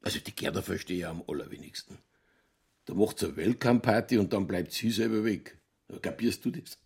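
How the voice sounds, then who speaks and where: An earthy Bavarian police detective in a radio crime drama. His voice is creaky and husky, and he holds forth with stubborn, provocative opinions in broad colloquial speech. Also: low voice, a little fast, darkish tone, heavily acted. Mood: pushy, colloquial.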